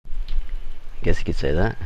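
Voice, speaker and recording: A man's voice: unhurried, darkish tone, dry recording, low-quality recording. A man on a gaming voice chat who dodges questions with understated replies. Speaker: gamer on voice chat.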